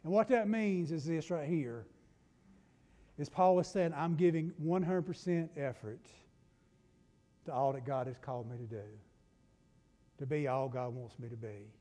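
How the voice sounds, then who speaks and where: A middle-aged man with a Southern US accent, preaching a folksy sermon from a church pulpit, swinging between loud and hushed. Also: a little high, unhurried, pauses often. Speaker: pastor. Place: church sanctuary. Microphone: pulpit mic from a far camera. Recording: long church-hall reverb.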